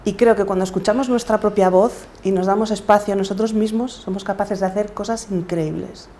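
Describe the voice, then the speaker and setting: A middle-aged woman with a Galician accent answers as an interview guest. Her voice is soft-edged and mellow at a medium pitch. She speaks reflectively, over faint background noise. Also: steady tone, brisk. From Galicia, Spain. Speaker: podcaster and coach, interview guest.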